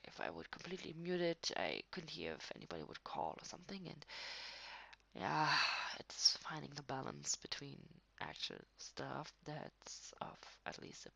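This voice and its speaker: A woman improvising an intimate one-sided roleplay as a tired, affectionate writer talking to a partner late at night, halting and breathy, often dropping to a near whisper. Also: low-pitched, expressive, rough recording. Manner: hesitant, halting, with frequent sighs and soft laughs. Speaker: audio roleplay performer.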